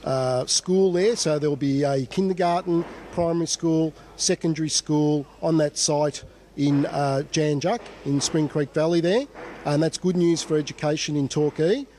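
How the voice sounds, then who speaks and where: A man's voice: a little high, normal speed, a little mellow, slight background noise. A man making a formal announcement in an interview, official-sounding but hesitant. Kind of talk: formal on-camera interview statement.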